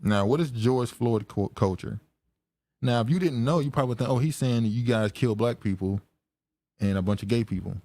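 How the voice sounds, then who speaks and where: A man hosting a casual podcast chat, musing aloud with halting restarts and frequent laughs. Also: mid-range voice, dark tone, dry recording. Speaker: podcast host.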